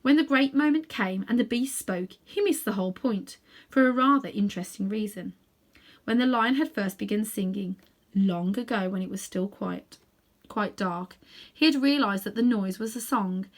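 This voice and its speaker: A woman narrating a children's storybook aloud in an expressive reading style. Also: medium pitch, moderate pace. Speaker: storybook reader.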